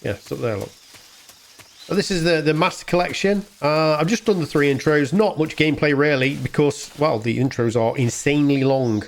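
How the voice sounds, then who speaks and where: A man's voice: on the high side, moderate pace. A man hosting a gaming channel, giving casual gameplay commentary in an informal, rambling way. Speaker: gaming YouTuber, host.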